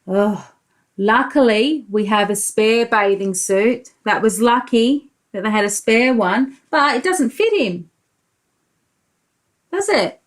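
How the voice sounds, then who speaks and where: High, lively woman reading to preschoolers. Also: slow. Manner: expressive, explains pictures and asks the listeners questions. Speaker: preschool teacher. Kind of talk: animated storybook read-aloud for young children.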